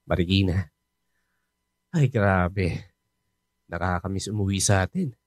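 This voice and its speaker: An actor playing a talkative, helpful fellow overseas worker who jokes and reassures, in a radio drama. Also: male voice, low-pitched, takes it slowly, no echo, clean studio sound. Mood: friendly. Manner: chatty, joking, reassuring. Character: chatty, helpful coworker who knows everyone.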